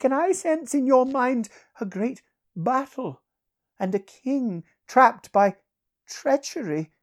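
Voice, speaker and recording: A woman's voice: high voice, darkish tone, pitched above the performer's own voice, full character voice. A woman voicing an ancient aristocratic male sorcerer with a wry, archaic, theatrical manner in a fantasy audiobook. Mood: scheming, wry.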